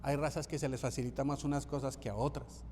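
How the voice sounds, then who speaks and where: A man's voice: medium pitch, fairly even intonation, quick pace, a little mellow, in a large hall, a little hiss or hum. A man from Colombia, preaching a sermon as pastor, emphatic and repeating key phrases for rhythm. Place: church sanctuary.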